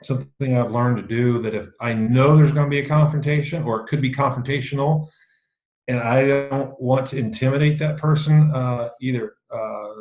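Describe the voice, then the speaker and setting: A middle-aged man with a low voice presenting a leadership course to a group in a meeting room, casual and dryly self-mocking, often restarting his sentences. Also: a little mellow, lo-fi sound. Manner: dry self-mocking humor, frequent restarts. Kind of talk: casual teaching talk to a small group. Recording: echoey room.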